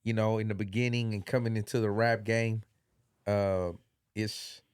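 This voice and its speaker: A man's voice: lowish voice, level tone, normal speed, clear, resonant tone, no echo, studio quality. A middle-aged man hosting a podcast in a loose, rambling way, congested and full of slang and fillers. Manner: rambling, filler-heavy, laid-back slang. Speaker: podcast host. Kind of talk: casual chat.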